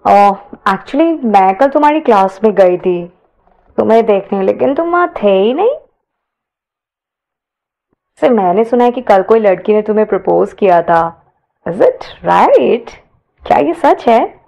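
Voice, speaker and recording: A woman voicing an eager, curious young female college student in an audio story, talking in an excitable, inquisitive way. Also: mid-range voice, measured pace, creaky, long pauses. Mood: chatty, gossipy. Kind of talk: audio story character dialogue.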